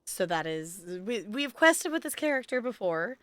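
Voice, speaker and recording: A woman game streamer chatting casually and in an animated way with her livestream audience as she plays. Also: on the high side, normal speed, no echo. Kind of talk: casual livestream chat and reading game dialogue. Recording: music behind the voice.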